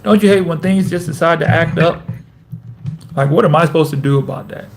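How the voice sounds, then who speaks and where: A man's voice: on the high side, brisk, a little hiss or hum. A man in his thirties hosting a casual motivational vlog into a camera mic, talking emphatically with lots of repetition and slang. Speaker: host, former pro basketball player.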